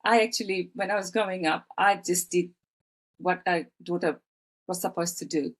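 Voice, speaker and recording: Guest, woman in her fifties from Fiji, lowish voice, halting and earnest storytelling. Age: 50s. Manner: hesitant, many fillers and restarts, earnest. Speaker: guest, author. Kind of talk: personal storytelling in interview.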